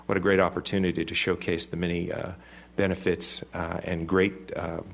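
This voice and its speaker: A man representing a cable company, giving formal remarks to a city council in a halting way with many fillers. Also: low-pitched, little pitch movement, gravelly, fairly bright tone. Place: council chamber.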